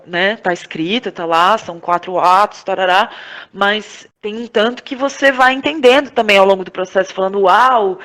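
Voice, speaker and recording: A Brazilian woman giving reflective interview answers, thinking aloud in a halting, searching way with frequent tag questions. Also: medium pitch. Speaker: podcast guest, playwright, actress and director.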